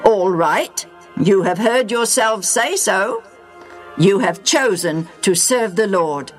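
A woman reading the part of a stern old male leader who speaks loudly and emphatically in a storybook reading. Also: medium pitch, animated, slow pace, soft background music, pitched above the performer's own voice. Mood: commanding. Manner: loud, emphatic preaching.

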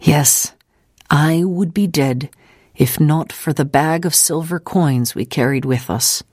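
A steadfast, composed missionary woman, as an audiobook narrator reads her, recounts hardship gravely and deliberately. Her voice is mid-pitched, recorded close and dry. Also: a little mellow, no room sound. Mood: grave, recollecting.

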